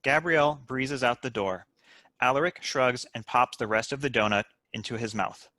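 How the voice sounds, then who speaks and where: A man narrates stage directions from a script. His mid-pitched voice is bright and forceful, recorded close and dry. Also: moderate pace, no echo. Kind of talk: scripted narration at a comedy table read.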